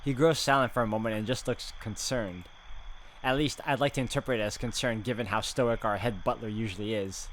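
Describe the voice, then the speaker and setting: A man's voice: fairly low pitch, fairly even intonation, fairly quick, a little hiss or hum. A man playing and reading a horror visual novel aloud, mixing dramatic narration with casual asides as a let's player. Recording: loud music behind the voice.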